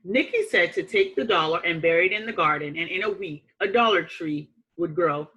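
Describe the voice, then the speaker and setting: A woman reading a children's picture book aloud like a teacher, with expressive, emphatic phrasing and deliberate dramatic pauses. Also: lowish voice, dull audio.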